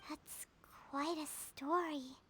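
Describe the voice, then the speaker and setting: An actress voicing a tiny, childlike, earnest female fairy companion in a video game, with a squeaky voice and naive, simple way of talking. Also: fairly even intonation, slow pace, a bit bright, a little hiss or hum. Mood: bewildered. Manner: simple wording, refers to self by name. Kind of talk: scripted video game dialogue.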